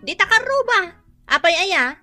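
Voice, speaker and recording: An actress playing an indignant, whiny, gossip-loving village wife in a comedy radio drama, complaining in animated exclamations. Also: female voice, extremely high pitch, measured pace, music in the background, full character voice.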